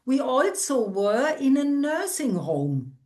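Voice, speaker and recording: A middle-aged woman with a loud voice, giving an interview as a senior instructor and sometimes searching for words in English. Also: high voice, very animated, takes it slowly. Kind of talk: conversational interview.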